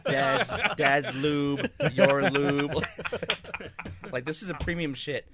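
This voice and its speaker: A man's voice: on the high side, steady tone, takes it slowly, crowd noise. A man bantering in a casual group chat, blunt and jokey, with some swearing. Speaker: podcast panelist.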